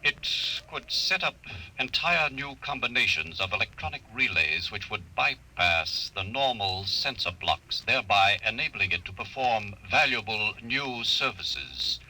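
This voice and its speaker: A man playing a talking computer in a 1950s radio drama, with a slow, measured, mechanical and precise delivery. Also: lowish voice, animated, heavily acted, rough recording. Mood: flat, informative. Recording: old radio broadcast, husky forceful sound.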